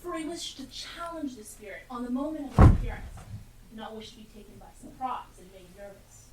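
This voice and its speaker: A woman with a slightly high voice narrates a story on stage. Her phrasing is deliberate and declamatory, recorded from afar in an echoey room with clear background noise. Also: lively intonation, far from the mic. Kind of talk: scripted storytelling narration in a live play.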